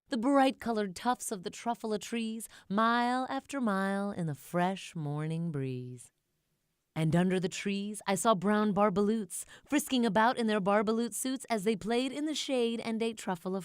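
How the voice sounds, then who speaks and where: A woman reading a rhyming children's storybook aloud in a rhythmic, theatrical, expressive way. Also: medium pitch, smooth, dry recording, clean studio sound. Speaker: storybook reader.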